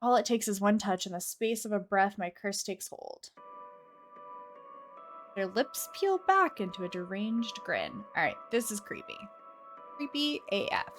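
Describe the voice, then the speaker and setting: A woman reading a visual novel aloud as a game streamer, with expressive, theatrical delivery. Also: mid-range voice, unhurried, faint music behind. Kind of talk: dramatic narration of a visual novel with casual asides.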